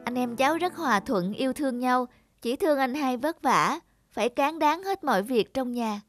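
A woman's voice: high voice, expressive, moderate pace, faint music behind. An actress playing a kind, caring young girl in a cartoon, speaking politely and earnestly. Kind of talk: animated moral tale voice acting.

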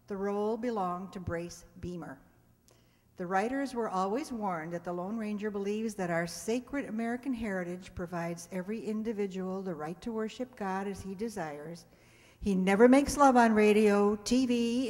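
A woman in her seventies reads a scripted introduction to an audience at a stage microphone, measured, with wry asides. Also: mid-range voice, darkish tone. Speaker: presenter introducing a stage radio play. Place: stage in a community hall, indoors. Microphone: handheld-style microphone on a stand. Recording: hall reverb from stage microphone.

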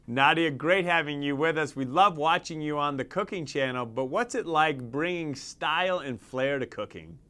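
A middle-aged man hosts a studio interview with showy enthusiasm. His pitch sits slightly high and swings widely from line to line. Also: animated, normal speed, hardly any pauses, bright, forceful tone. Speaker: interview show host. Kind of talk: TV interview hosting.

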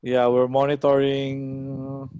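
A middle-aged man with a Filipino accent, hosting casually on a video call in a halting, repetitive way. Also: mid-range voice, monotone, mellow, smooth, highs cut off. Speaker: online auction host. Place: small room at home, indoors. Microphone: headset on a video call.

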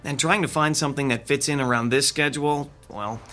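A man's voice: medium pitch, fairly even intonation, fairly quick, sharp tone, soft background music, natural voice. Wry, skeptical theatre techie played by a man. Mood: worried. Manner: dry, teasing. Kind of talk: audio drama acting, conversational.

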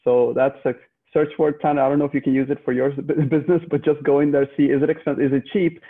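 A man's voice: fairly high pitch, flat delivery, fast. A man in his thirties from Sweden with a Swedish accent, a startup founder chatting casually on a video call, giving tips and often restarting. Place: room at home with a couch. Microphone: earbuds on a video call. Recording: phone-like video call audio.

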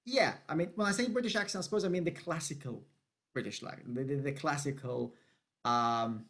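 A man's voice: on the high side, brisk, a bit bright. A Syrian-born man in his thirties hosting a podcast, chatting casually with lots of restarts and laughs. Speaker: podcast host. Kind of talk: casual interview chat.

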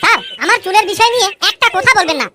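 A man playing a naive, earnest little cartoon schoolboy, with a pitched-up voice effect. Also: rapid-fire, crowd noise. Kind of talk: comic cartoon dialogue. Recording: music behind.

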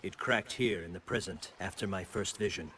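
An actor playing a wise, solemn thunder god and mentor in a video game, giving grave, measured counsel. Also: male voice, low-pitched, bright, forceful tone, slight background noise. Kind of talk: video game drama acting.

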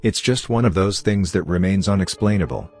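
Deep-voiced man narrating horror stories. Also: brisk, darkish tone. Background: faint music.

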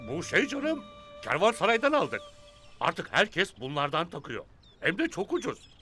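Shifty flustered cartoon man, high and piercing, stammery excuses. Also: huge pitch swings, moderate pace, sharp tone, faint music behind, heavily acted. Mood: flustered, evasive. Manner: hesitant, evasive, overexplaining. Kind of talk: animated children's cartoon acting.

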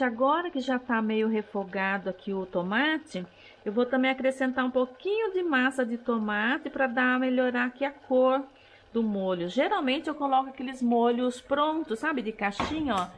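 Middle-aged brazilian woman talking thru her cooking at home, higher voice, faint hiss behind, head cam mic. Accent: Brazilian Portuguese. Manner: chatty, explains each step, many tag questions. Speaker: cooking vlogger. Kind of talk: casual cooking chat to camera. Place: home kitchen, indoors. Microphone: head-mounted camera.

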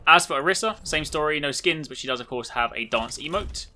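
Male gaming video host, very bright forceful tone, casual commentary. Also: a little high, lively intonation, fairly quick, faint music behind. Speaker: video host showcasing game cosmetics.

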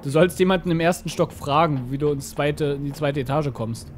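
A man's voice: fairly high pitch, brisk. A young man co-commentating on a video game in a casual, wry, playful way.

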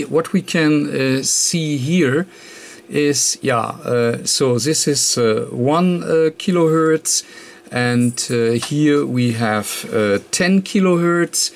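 A man presenting an electronics tutorial, explaining off the cuff in a halting, hesitant way with frequent pauses mid-sentence. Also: mid-range voice, gravelly. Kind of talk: unscripted technical explanation. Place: indoor workbench, hands and papers filmed.

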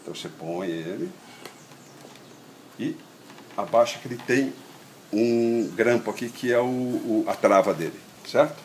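A middle-aged man with a Brazilian accent giving an informal hands-on tutorial step by step, haltingly, with repeated words and little check-in tags. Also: mid-range voice. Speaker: product demonstrator. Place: outdoor patio table in a garden. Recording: roomy echo, steady ambient noise.

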